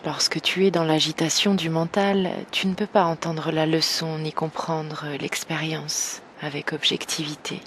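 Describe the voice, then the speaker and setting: A woman guiding an evening meditation slowly and gently, in a soft, breathy voice that nears a whisper, with long pauses. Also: sits low, level tone, slight background noise. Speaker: meditation guide. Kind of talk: guided evening meditation, yoga nidra.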